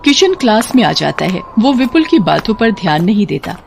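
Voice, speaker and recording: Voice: mid-range voice, big pitch swings, moderate pace. A narrator reading a scripted moral story, telling events plainly in the third person. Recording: clear traffic noise behind.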